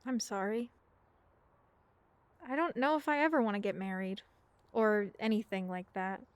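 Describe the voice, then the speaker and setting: A woman playing a shy teenage girl in a radio play, speaking softly and hesitantly. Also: mid-range voice, moderate pace, clean tone, no room sound, natural voice. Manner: quiet, hesitant, soft-spoken. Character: shy teenage girl, new in town, quiet. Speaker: daughter.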